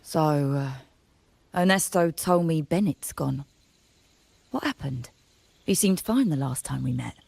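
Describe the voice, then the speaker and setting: Blunt, gravelly woman trader. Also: low-pitched, animated, unhurried, pauses often, a little mellow, no echo, exaggerated voice. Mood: grudging, concerned. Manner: blunt, informal, grumbling. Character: brusque, plain-spoken woman trader, wary but loyal. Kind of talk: video game dialogue.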